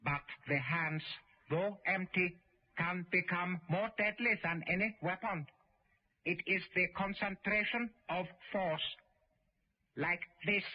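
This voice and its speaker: Slow, high-pitched stern karate master. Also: male voice. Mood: stern, solemn. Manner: formal, deliberate, severe. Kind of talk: radio drama acting. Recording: dull old radio recording.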